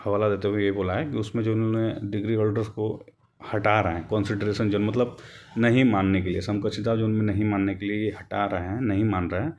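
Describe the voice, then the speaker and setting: A man's voice: fairly low pitch, little pitch movement, brisk, a little mellow, dull audio. A man giving an informal explanatory commentary, rephrasing and repeating himself as he goes. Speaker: YouTube host explaining a court case update.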